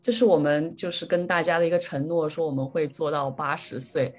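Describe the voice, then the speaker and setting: A woman's voice: sits low, fairly quick, faint music behind. A woman co-hosting a podcast, chatting casually and reading out listener messages in a chatty, easily amused way. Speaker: podcast co-host.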